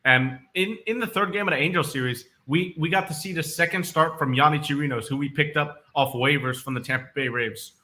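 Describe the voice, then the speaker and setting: A man co-hosting casual sports talk, animated and rising in pitch as he makes his points. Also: fairly quick, hardly any pauses. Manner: animated, goes up in pitch when making a point. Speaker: sports podcast co-host.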